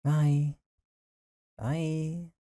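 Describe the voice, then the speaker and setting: A man's voice: fairly high pitch, level tone, extremely slow, dark tone, dry recording, studio quality. A man acting a possessive, lovesick young man in an intimate audio roleplay, talking in a casual, teasing, conversational way with sighs. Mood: fond, teasing. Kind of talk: intimate audio roleplay drama, one side of a call.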